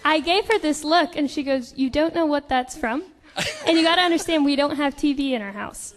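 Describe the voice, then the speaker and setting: A woman's voice: high-pitched, fairly quick. A young woman speaking as an interview guest, casually telling anecdotes in a chatty, playfully joking way.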